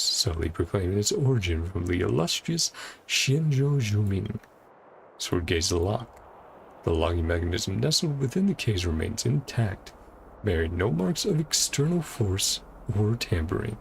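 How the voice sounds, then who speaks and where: A man's voice: lowish voice, creaky, slight background noise. A man doing casual video game playthrough commentary, musing aloud and reading game text out as he goes. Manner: musing aloud, speculating to himself. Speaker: gaming content creator playing through a story quest.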